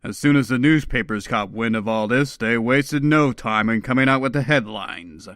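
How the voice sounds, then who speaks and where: A man's voice: medium pitch, normal speed, a bit bright, no echo, clean studio sound, close to an everyday voice. A man playing a confident, earnest comic-book superhero recounting his exploits. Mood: determined. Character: confident adult male superhero, earnest and quick-acting. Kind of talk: comic book performance, first-person recounting.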